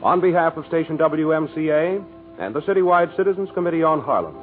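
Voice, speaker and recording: A man's voice: a little high, lively intonation, quick pace. An American man working as a formal, polished 1940s radio announcer, reading a scripted program script. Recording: tinny, narrow-band 1940s radio broadcast. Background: music.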